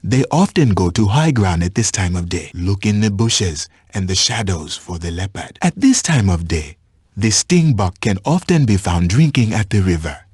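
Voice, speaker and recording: An actor playing a patient, watchful African safari tracker in a video game, guiding a hunter in measured, instructive phrases. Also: male voice, low-pitched, very animated, a little mellow, slightly rough, no echo, clean studio sound, exaggerated voice. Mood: calm, instructive. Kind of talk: scripted video game voice lines.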